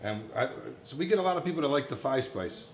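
A man's voice: medium pitch, expressive, fast talker. A man in his fifties talks as a chef hosting a cooking show. His delivery is informal and chatty, aimed at home cooks. The kitchen around him is echoey, with faint noise behind the voice. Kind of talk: casual cooking demonstration. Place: commercial-style kitchen, indoors. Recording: echoey room, some background noise, kitchen clatter.

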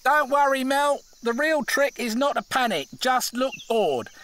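A man's voice: very high voice, lively intonation, unhurried, sharp tone, a little hiss or hum, heavily acted. An actor playing a cynical, scheming British tradesman in an audio drama, blunt, dismissive and conspiratorial. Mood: smug reassurance. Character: cynical, scheming tradesman with a grudge against the countryside.

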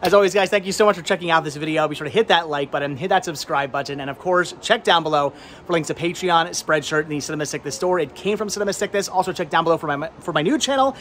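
A man in his thirties hosting a casual vlog, chatting enthusiastically and rambling with halting, repeated words. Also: fairly high pitch, fairly bright tone, a little hiss or hum. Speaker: vlog host. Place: large indoor flea market and warehouse loading area. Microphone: handheld camera at arm's length.

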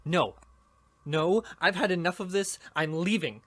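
A man's voice: sits high, expressive, a little slow, a bit bright, no room sound. Exasperated young student, male actor, audio drama: insistent, scared of danger, widely varied intonation, dry recording. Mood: fed up. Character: fed-up, fearful young male university student. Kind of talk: sci-fi audio drama acting.

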